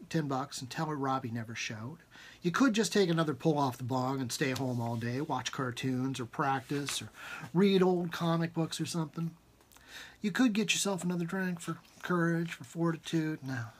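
A man in his forties with a husky voice reads his own fiction aloud informally and expressively, with emphatic rhythm and dramatic pauses, at a desk in a room at home. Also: on the high side. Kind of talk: expressive literary reading, informal. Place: small book-lined room at home, indoors.

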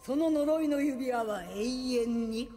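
A woman's voice: high voice, a little slow, heavy background noise. A woman playing an imperious, gloating witch villain in an anime audio drama, with old-fashioned regal diction. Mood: smug, taunting. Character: haughty female witch villain, archaic imperious speech. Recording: loud music under the voice.

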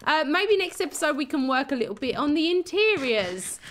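Woman gamer, young adult, hoarse, high and bouncy pitch, giggly self-talk commentary. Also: sing-song, fairly quick, a bit bright, a little hiss or hum. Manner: chatty, giggly, talks to herself and the viewers. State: hoarse, losing her voice. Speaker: game channel host. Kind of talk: casual Minecraft let's play commentary.

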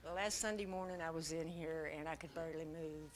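A woman's voice: low voice, steady tone, fairly quick, a little hiss or hum. A middle-aged woman giving a simple, joyful testimony in a small church.

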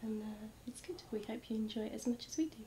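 A woman in her forties giving a gentle, hesitant testimonial on a living room sofa. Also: fairly high pitch, fast talker. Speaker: married couple sharing a testimonial. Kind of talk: casual testimonial.

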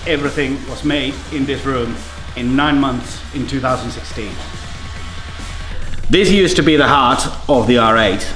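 A man's voice: medium pitch, a bit bright, reverberant. A man from Finland with a Finnish accent, speaking English as a second language, presenting a racing team as its engineer in a garage. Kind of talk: scripted team introduction to camera.

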